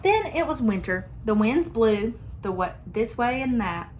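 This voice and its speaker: A young woman teacher reading a picture book aloud to her class in a slow, expressive storytelling manner. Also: on the high side, slight background noise.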